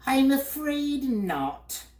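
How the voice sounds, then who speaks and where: A woman voicing a dirty, haughty male bird who snatches words, with a shrill, drawn-out, cackling voice. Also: big pitch swings, roomy sound. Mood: sad.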